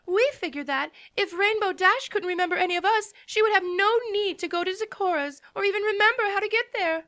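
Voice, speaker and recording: A prim, refined cartoon pony lady with a very high, bright voice, as a woman reads her. She chooses her words with care and a polished air. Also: fairly quick, heavily acted. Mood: sheepish.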